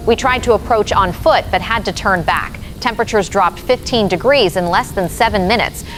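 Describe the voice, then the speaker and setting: A woman's voice: medium pitch, moderate pace, a bit bright, faint music behind, lightly acted. A woman acting an uneasy but professional field reporter in an audio drama, giving a serious, descriptive report. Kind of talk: live news field report.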